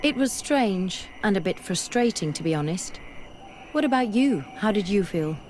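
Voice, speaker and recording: An actress plays a curious, skeptical scholar in a fantasy game. She is medium-pitched, thoughtful and determined, with clear ambient noise behind her. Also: expressive, moderate pace, darkish tone, lightly acted. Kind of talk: video game dialogue acting.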